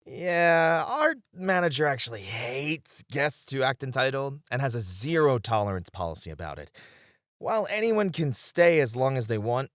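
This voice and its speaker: Supportive, earnest lounge host for ASMR roleplay, played by a man: playful, very lively pitch, dry recording. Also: no room sound. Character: supportive, playful male lounge host looking after a colleague. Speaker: voice actor.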